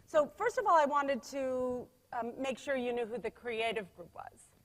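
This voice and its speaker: Energetic woman presenter in a reverberant hall. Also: sits high, moderate pace. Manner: energetic, emphatic, loud, with frequent restarts. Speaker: conference speaker. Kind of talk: conference keynote presentation. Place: conference room.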